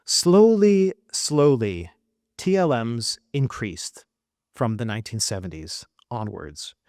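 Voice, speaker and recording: A middle-aged American man delivers a keynote address at a gala, earnest and emphatic, leaning on deliberate pauses. His pitch rises and falls with a lot of movement, and the sound is close and dry, with little room around it. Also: expressive, darkish tone, no room sound. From United States. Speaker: keynote speaker. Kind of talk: formal speech at a gala. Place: event hall.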